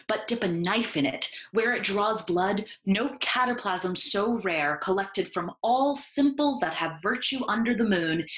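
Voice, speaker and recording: A woman's voice: medium pitch, fairly bright tone, roomy sound, natural voice, poor audio. A woman playing a grieving, vengeful young nobleman in a Shakespearean audio play, with intense declamatory delivery.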